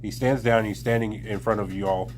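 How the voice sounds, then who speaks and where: A man with a fairly low voice runs a tabletop roleplaying game. He narrates scenes and rules in a deliberate, improvised, stop-and-start manner, recorded with slight background room noise. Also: steady tone. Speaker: game master.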